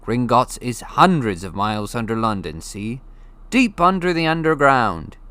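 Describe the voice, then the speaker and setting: A man reading an audiobook, voicing a gruff, hearty, friendly giant with rough, folksy, blustery speech. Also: mid-range voice, sing-song, measured pace, sharp tone, dry recording, voice pushed up. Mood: secretive, emphatic. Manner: folksy, blustery, dropped grammar. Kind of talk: audiobook character voice.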